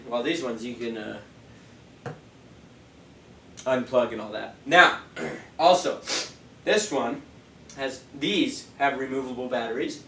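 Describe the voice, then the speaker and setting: A man's voice: mid-range voice, fairly bright tone, far from the mic, a little hiss or hum. Sniffly man reviewing speakers, echoey room. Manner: rambling, loose, many fillers. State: stuffy nose, sniffly. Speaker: product reviewer. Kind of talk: casual product review.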